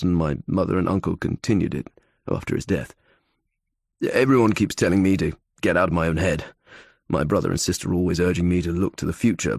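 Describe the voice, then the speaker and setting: Anxious young sportsman, audiobook character played by a man: low, husky, earnest and fretful. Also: darkish tone, no echo, clean studio sound, exaggerated voice. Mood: anxious, confiding. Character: anxious young male athlete with health phobia.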